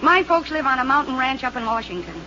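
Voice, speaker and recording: An actress playing a spirited, stubborn young stewardess, pert and emphatic, in an old radio serial. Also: female voice, high voice, animated, fast talker, pushed and bright. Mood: indignant. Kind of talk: 1940s radio adventure serial acting. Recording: dull, very noisy old radio recording.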